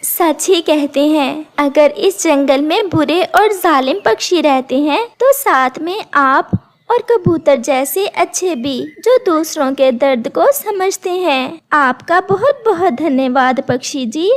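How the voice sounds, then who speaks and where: Woman playing a gentle mother bird, high and unhurried. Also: expressive. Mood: grateful. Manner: polite, earnest. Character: poor gentle widowed mother bird, grateful.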